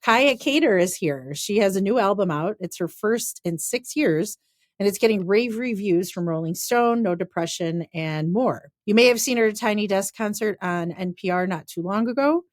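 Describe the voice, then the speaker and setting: A woman co-hosting a podcast, chatting casually with lively reactions and a rambling way of talking. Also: mid-range voice, moderate pace, dry recording, studio quality. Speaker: podcast co-host. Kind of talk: casual chat.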